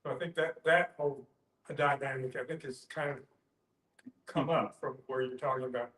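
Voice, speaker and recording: An older man in an informal group discussion, halting and circling back as he searches for words. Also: mid-range voice, steady tone, a bit bright. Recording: echoey room.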